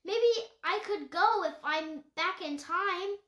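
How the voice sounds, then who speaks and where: A woman's voice: very high voice, slow pace, sharp tone, voice pushed up, full character voice. A woman voicing a loyal, anxious young boy, a protective big brother in a storybook, speaking plainly and earnestly. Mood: worried. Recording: echoey room.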